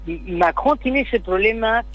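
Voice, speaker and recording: Measured middle-aged man on a humming line. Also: high voice, animated, a bit bright, dry recording. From Spain. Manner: measured, explanatory, repeats words while searching. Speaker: guest, city councillor. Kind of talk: political interview over the phone.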